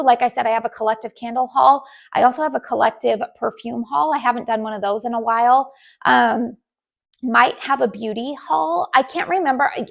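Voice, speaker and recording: A woman who hosts a candle channel, from the United States, chatting casually to her viewers with a raspy voice, with many ums and restarts. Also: on the high side. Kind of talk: casual chat to camera.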